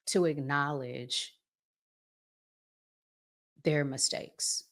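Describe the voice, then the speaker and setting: An American woman hosting a relationship advice channel, talking casually and emphatically in colloquial language and drawing out her key words. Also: low-pitched. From United States. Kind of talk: casual advice talk with acted-out examples.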